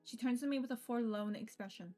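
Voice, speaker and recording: A woman streamer reading a visual novel aloud and adding casual, playful, expressive commentary. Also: fairly high pitch, quick pace, faint music behind. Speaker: game streamer.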